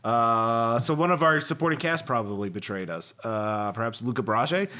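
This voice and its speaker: A man hosting a casual group brainstorming chat, excitable as he runs through ideas. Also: mid-range voice, moderate pace. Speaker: podcast host.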